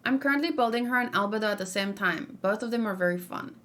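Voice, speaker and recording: A woman's voice: medium pitch, fast, fairly bright tone. A young woman streaming and reacting live, chatting casually, reading viewer comments aloud and rambling with frequent fillers. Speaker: streamer reacting to game character.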